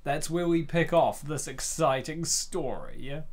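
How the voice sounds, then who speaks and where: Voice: medium pitch, animated, takes it slowly. A host giving casual, jokey reaction commentary on a comic, with a playful, exclamatory manner.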